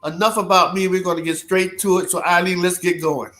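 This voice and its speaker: Man, talk show host, bright voice, high pitch, formal introduction. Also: steady tone, fairly quick. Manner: formal, reads guest introduction. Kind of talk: hosting introduction.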